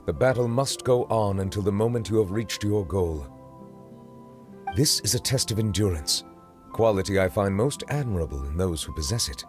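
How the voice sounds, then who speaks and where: Game casting: male actor as solemn mentor-like fighter, lowish register, grave and thoughtful, soft music underneath. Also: lively intonation, moderate pace, close to an everyday voice. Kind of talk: video game voice acting.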